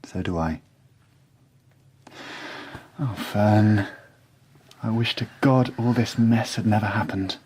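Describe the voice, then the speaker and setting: A man playing a gentle, halting young father, lovelorn and bewildered, in a naturalistic radio drama. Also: on the low side, slightly rough. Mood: regretful. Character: lovelorn, bewildered father putting on cheer for his daughter. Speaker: actor.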